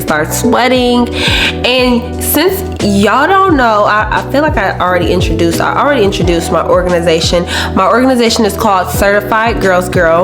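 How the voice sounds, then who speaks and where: Young woman vlogger, raspy with a cold, chatty motivational talk over music. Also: medium pitch. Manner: chatty, keeps saying "y'all" and "okay". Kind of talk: casual motivational vlog. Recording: music in the background.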